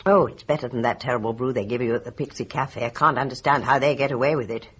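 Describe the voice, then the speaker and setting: An actress playing an older British village nurse in a radio drama, chatty, bustling and familiar. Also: female voice, very deep voice, fairly even intonation, quick pace, a bit bright, slightly rough, exaggerated voice. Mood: bustling, sociable. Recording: radio drama with faint background noise.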